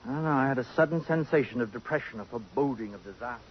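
A man's voice: medium pitch, fast, very noisy, close to an everyday voice. An actor playing a suave, possessive, sardonic society man in a 1950s radio drama, with arch and precise pompous diction. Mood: somber. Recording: muffled vintage radio broadcast.

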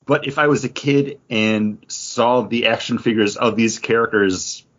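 A man's voice: mid-range voice, unhurried, a bit bright, highs cut off. A man chatting casually as a podcast host, rambling and peppering his talk with "like.". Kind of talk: casual comic book review chat.

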